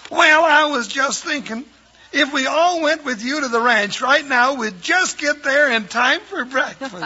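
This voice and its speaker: A man's voice: unhurried, sharp tone, exaggerated voice. A man playing a good-natured, folksy comic cowboy sidekick with an American accent in an old radio western. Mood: jovial. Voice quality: high-pitched, piercing voice. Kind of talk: 1950s radio western acting. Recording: dull, hissy old radio recording.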